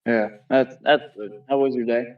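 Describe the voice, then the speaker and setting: A man's voice: medium pitch, fairly even intonation, moderate pace. Curious man on a muffled, distant line. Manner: curious, asks lots of questions, repeats himself. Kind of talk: casual chat.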